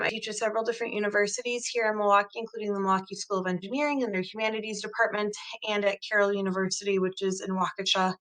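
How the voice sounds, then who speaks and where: A woman's voice: medium pitch, sharp tone, low-quality recording. A young adult woman whose first language is Croatian, earnestly presenting her research study over slides, recorded on a laptop webcam. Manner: earnest, explanatory, frequent "um" fillers. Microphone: laptop webcam video call.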